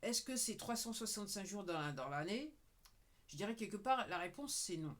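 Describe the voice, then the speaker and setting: A woman's voice: mid-range voice, expressive, moderate pace. A woman talking casually to her viewers, rambling with frequent "ok"s and now and then dropping to a murmur. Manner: rambling, repeats "ok", sometimes drops to a murmur; addresses viewers directly. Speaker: video creator. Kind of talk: casual talking-head monologue.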